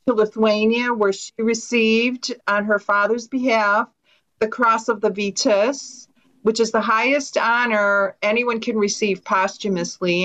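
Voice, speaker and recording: A middle-aged woman from Chicago speaks as an author on a panel, calling in from a home study lined with bookshelves. She has a mid-range voice and tells her account earnestly, with a steady flow. The call audio sounds somewhat dull. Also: medium pitch. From Chicago, USA. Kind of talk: personal account on a panel talk. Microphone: video call.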